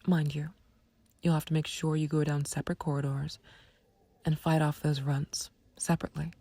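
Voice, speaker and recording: Woman acting as smug female villain, low mellow voice, breathy, teasing, condescending, flirtatious, unhurried, ASMR roleplay. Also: level tone, no room sound. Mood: teasing, reluctant bargaining. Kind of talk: ASMR roleplay audio drama.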